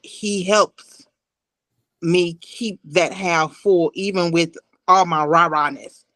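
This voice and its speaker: A woman's voice: fairly low pitch, steady tone, takes it slowly, a bit bright, dry recording. A woman in her forties talking earnestly and emotionally as a guest in a heartfelt interview on a video call. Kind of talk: heartfelt personal interview talk.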